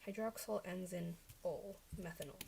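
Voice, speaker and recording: A woman's voice: fairly low pitch, normal speed, gravelly, dark tone, slight background noise, rough recording. A young woman whose first language is Chinese, talking to herself as she checks her study answers on a casual livestream into a desk microphone, often correcting herself.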